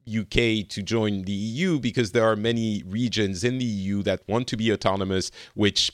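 A man's voice: on the low side, fairly even intonation, fairly quick, no room sound, studio quality. A man hosting a casual panel discussion, often searching for his words and chuckling. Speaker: podcast host moderating a panel.

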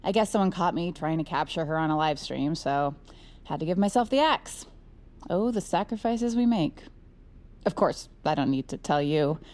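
A woman's voice: deep voice, normal speed, a little mellow, lightly acted. A woman playing a chummy superior agent in an audio drama, talking in a casual, wry, coaxing way. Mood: wry, breezy. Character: breezy, chummy, sly superior female agent. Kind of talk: scripted audio drama acting.

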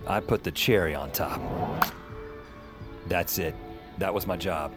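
A young man with a slightly low voice narrates an audio drama. He is wry, colloquial and self-deprecating, a bit rattled, with music clearly behind him. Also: unhurried. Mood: wry, reflective.

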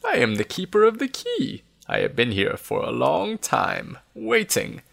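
A man voicing a tiny ancient hermit from a storybook, faltering, excitable and forgetful. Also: fairly high pitch, creaky, voice pushed up, exaggerated voice. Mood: faltering, eager. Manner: excitable, halting.

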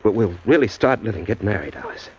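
A man's voice: on the low side, lively intonation, fast talker, a crowd in the background, lightly acted. A man playing a hard-boiled wisecracking drifter. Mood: tense. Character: tough young male drifter, wisecracking, smitten. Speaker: narrator and lead. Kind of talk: 1940s radio acting. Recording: muffled 1940s radio broadcast.

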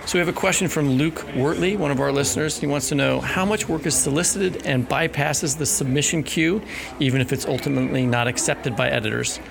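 A man's voice: medium pitch, a little fast, gravelly, crowd noise. A man co-hosting a literary podcast, asking rambling, hesitant questions full of fillers. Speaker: podcast co-host. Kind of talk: casual interview questions.

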